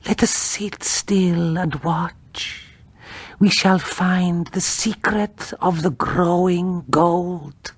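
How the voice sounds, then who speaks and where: A man voicing a fragile young wife in a gothic horror story, with a breathy, half-whispered, trembling and melodramatic delivery. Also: high voice, fairly even intonation, very slow, dry recording, pitched above the performer's own voice, heavily acted. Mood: eerie, dreamy whisper. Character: terrified, fragile young wife turned eerily dreamy.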